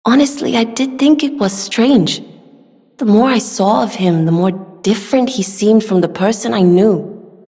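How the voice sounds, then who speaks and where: A young woman voicing a gentle, earnest, polite weapons smith in a video game, soft-spoken and given to sighs. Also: medium pitch, unhurried, close to an everyday voice. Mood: shocked, unsettled. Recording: long hall reverb.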